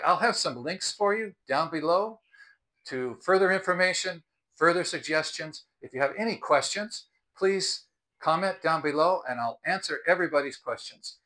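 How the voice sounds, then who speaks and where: A man's voice: mid-range voice, pushed and bright. An American man in his sixties talking to camera in an earnest, advisory way, explaining things as a video host. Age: 60s. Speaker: video host and product reviewer. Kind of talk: explanatory vlog talk to camera. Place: outdoors on a house's front porch. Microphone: clip-on lapel mic.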